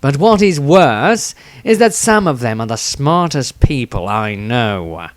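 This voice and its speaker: A man voicing a disdainful, superior man in a fantasy storybook, with a weary, condescending, drawn-out delivery. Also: medium pitch, sing-song, dry recording. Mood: weary scorn.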